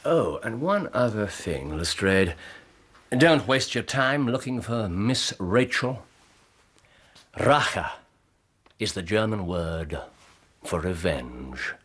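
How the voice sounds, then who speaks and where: A man's voice: on the low side, lively intonation, slow pace, long pauses, fairly bright tone, breathy edge, exaggerated voice. An English man acting in a radio drama as a brilliant, self-assured Victorian detective, dryly teasing and precise as he explains. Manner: precise, fluent deductive explaining.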